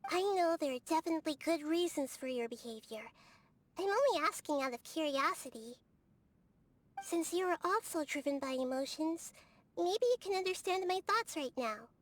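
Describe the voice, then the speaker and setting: Game role: tiny wise child goddess, extremely high voice, earnest, gently inquisitive, over music. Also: female voice, expressive, moderate pace, dry recording. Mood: curious, earnest. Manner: soft-spoken, thoughtful, quizzical, speaks in animal analogies. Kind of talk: video game character dialogue.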